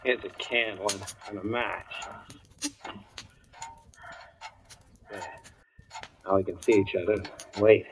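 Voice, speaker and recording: An actor playing a deranged, vengeful jilted husband in an intense, obsessive 1940s radio thriller style. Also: male voice, on the low side, steady tone, moderate pace, natural voice. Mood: hushed, urgent. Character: deranged vengeful man, murderous jilted husband posing as rescuer. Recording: noisy vintage radio recording with clicks.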